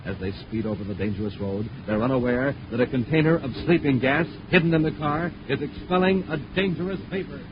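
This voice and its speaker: An American man as an emphatic, showman-like 1950s radio announcer, reading scripted narration and sponsor pitches. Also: brisk, few pauses. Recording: muffled, noisy 1950s radio recording.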